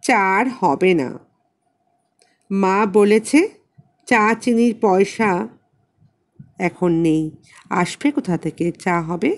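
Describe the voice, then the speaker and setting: A woman's voice: mid-range voice, slow, pauses often. A woman voicing a solemn, earnest young girl, a little sister, in a grave, knowing, insistent manner for an audio story.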